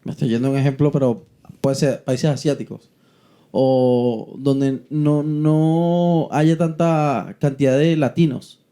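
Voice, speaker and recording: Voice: medium pitch, fairly even intonation, slow pace, warm tone. A podcast co-host from Venezuela, explaining in a casual way and often checking that they are understood. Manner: keeps asking "¿sí me explico?".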